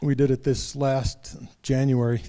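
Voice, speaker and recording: Mellow-voiced man running a club meeting. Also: mid-range voice, measured pace. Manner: relaxed, rambling with fillers and restarts. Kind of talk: casual announcements at a club meeting. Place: meeting room.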